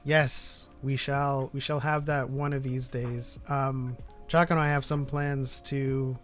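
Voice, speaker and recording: A man hosting a live stream, chatting casually and reading out comments in a halting, easygoing way. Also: medium pitch, little pitch movement. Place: online group live stream. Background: background music.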